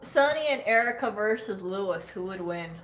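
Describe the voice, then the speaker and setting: A woman's voice: mid-range voice, expressive, moderate pace, a bit bright. Chatty teasing woman in a roomy shop. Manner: chatty, teasing, laughs often. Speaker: live stream co-host. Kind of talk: casual chat on a live stream.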